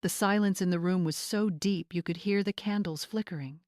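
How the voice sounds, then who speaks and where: A woman with a slightly low voice narrates dramatic fiction. Her delivery is measured and suspenseful, and the recording is dry and close. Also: darkish tone, no room sound. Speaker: story narrator.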